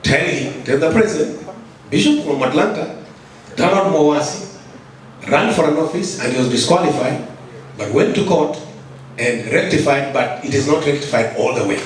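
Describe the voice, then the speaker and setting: A man's voice: a little high, expressive, normal speed, a little hiss or hum. Earnest Kenyan man in an echoey hall. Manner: earnest, repeats words and restarts, grows emphatic. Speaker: audience member at a conference. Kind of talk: speaking from the floor at a conference. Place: large conference hall. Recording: echoey hall, mic from a distance.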